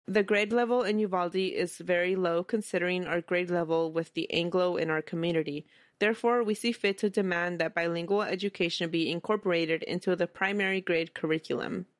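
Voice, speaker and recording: A woman's voice: lowish voice, a little fast, no room sound, clean studio sound. A woman hosting a casual history podcast, telling the story in a conversational way and reading documents aloud. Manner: conversational, reads quoted documents aloud, chuckles often. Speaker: podcast host.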